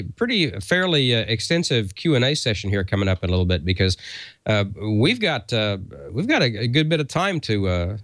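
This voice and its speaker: Man, show host, animated intonation, casual moderating, halting phrasing, close dry sound. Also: medium pitch, no room sound. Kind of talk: casual hosting, moderating a panel.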